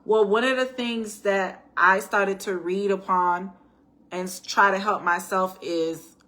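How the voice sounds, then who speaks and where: A middle-aged woman with a slightly raspy voice, chatting casually to her viewers in a personal storytime, tossing in "right?" and restarting her sentences. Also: medium pitch, fairly even intonation, slow, pushed and bright. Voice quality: raspy at times. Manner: chatty, addresses viewers as y'all, frequent restarts and rhetorical "right?". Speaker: faith and life-planning vlogger.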